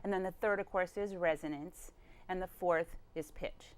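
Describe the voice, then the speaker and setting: A woman's voice: lowish voice, fairly even intonation, moderate pace. A woman hosting a TV studio interview, leading her guest with affirming questions about the voice. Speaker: TV show host.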